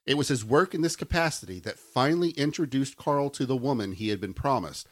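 A young adult man hosting a podcast, narrating a true story in a steady, matter-of-fact way. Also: mid-range voice, brisk. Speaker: podcast host telling the story.

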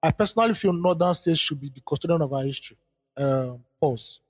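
A man co-hosting a podcast, reading mail and chatting at a brisk clip. His voice sits a little high with a husky edge. A long reverb tail trails behind his words. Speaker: podcast co-host. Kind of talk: reading listener mail aloud, then casual discussion.

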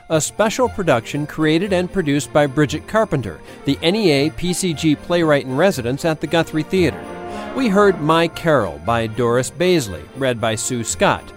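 A man presenting scripted credits as a radio producer. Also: on the high side. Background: music.

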